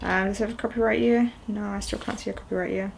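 A woman's voice: mid-range voice, fairly even intonation, quick pace, gravelly, a little hiss or hum. A young woman in her twenties chatting casually while unboxing at home on camera, rambling with lots of ums and uhs. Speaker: video host. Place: small indoor room at home, seated on a sofa.

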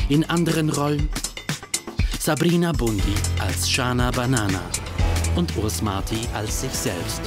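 A man calmly reading the end credits of a podcast drama. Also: mid-range voice. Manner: calm, announcing. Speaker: credits announcer. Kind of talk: read credits. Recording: loud music underneath.

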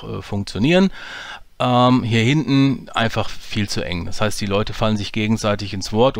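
A man walking through his dialogue editing in a relaxed, explanatory way, with many fillers. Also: fairly low pitch. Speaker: audio drama producer teaching editing. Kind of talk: screen-recorded software tutorial.

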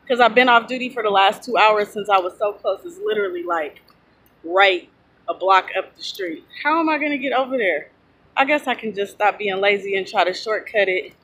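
A woman's voice: mid-range voice, a bit bright. A woman in her thirties, a truck driver, chatting casually and rambling to her phone camera outdoors in a parking lot. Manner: rambling, chatty, loses her train of thought. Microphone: phone at arm's length.

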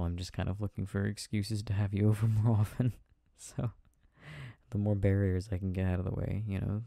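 A man playing an affectionate, tired boyfriend in an intimate ASMR roleplay, chatting softly and hesitantly with a playful, teasing manner. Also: lowish voice, creaky, dry recording, studio quality. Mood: shy, affectionate. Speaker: audio roleplay performer.

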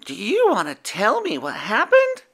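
Storyteller voicing a high, kind young werewolf. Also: huge pitch swings, measured pace, gravelly, exaggerated voice. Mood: gentle. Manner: gentle and reassuring. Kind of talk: storybook reading.

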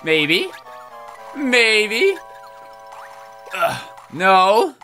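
A man's voice: high-pitched, huge pitch swings, extremely slow, sharp tone, soft background music. A man co-hosting a let's play, chatting casually and yelping and laughing when he misses jumps. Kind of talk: casual gameplay banter.

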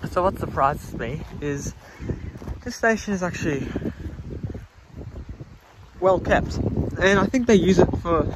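A man's voice: high voice, lively intonation, slow, pauses often. An Australian man narrating a casual walk around a rural railway station on his phone, thinking aloud with fillers. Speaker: railway vlogger. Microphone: handheld phone. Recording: loud wind noise on the phone mic outdoors. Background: loud wind noise.

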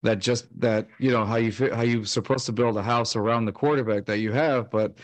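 A man's voice: medium pitch, fairly even intonation, brisk, no room sound. A man in his thirties co-hosting a fan podcast over a video call, rambling with lots of fillers and restarts. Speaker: podcast co-host. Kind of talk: casual sports chat. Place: home room, video call. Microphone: studio mic on stand with headset.

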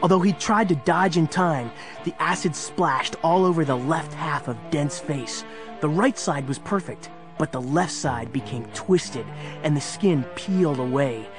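A man narrating a scripted story in a dramatic storytelling style. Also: a little high.

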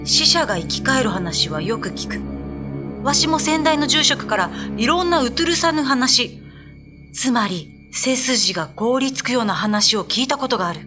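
A woman playing a stern, resolute old male Buddhist priest in a radio ghost drama, with commanding, deliberate, old-fashioned speech. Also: a little high, lively intonation, music in the background. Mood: grave, resolute.

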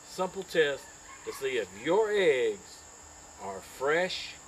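A man's voice: a little high, very animated, slow, a little hiss or hum. A middle-aged man giving a casual, folksy how-to demonstration outdoors in his backyard, talking to a camera mic. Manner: folksy, chatty, with frequent uh fillers. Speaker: small farm owner demonstrating.

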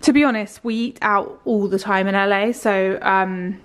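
A woman's voice: mid-range voice, steady tone, normal speed. Chatty woman vlogging a tour. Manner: chatty, upbeat, rambling with fillers. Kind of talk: casual vlog house tour. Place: rented house, indoors. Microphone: handheld camera at arm's length.

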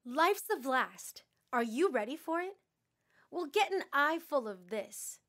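A woman's voice: high voice, fairly bright tone, exaggerated voice. A woman playing a confident announcer in an upbeat cosmetics commercial read.

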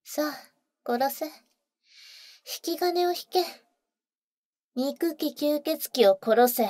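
A woman playing a tender, protective vampire older sister in a Japanese ASMR audio roleplay, soft and breathy with cool, boyish phrasing, close on the mic. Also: high voice, takes it slowly, dry recording. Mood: cold, defiant. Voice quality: soft, breathy, often whispering. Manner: husky, cool, boyish speech. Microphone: close studio microphone, dry.

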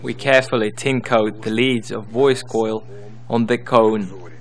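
A man's voice: on the low side, steady tone, unhurried. Man narrating a repair tutorial. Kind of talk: scripted instructional narration.

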